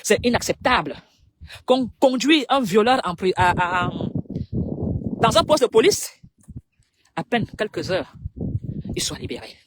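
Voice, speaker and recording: A woman with a Cameroonian French accent making an impassioned public appeal, speaking emphatically in short phrases with dramatic pauses. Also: mid-range voice, animated, background noise.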